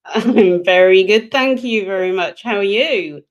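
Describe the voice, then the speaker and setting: A woman's voice: mid-range voice, measured pace, muffled recording. A woman who grew up in the UK, a guest on a remote call, chatting casually with self-deprecating humor and frequent repeated words. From United Kingdom. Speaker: podcast guest, organizational psychologist. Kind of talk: casual interview chat.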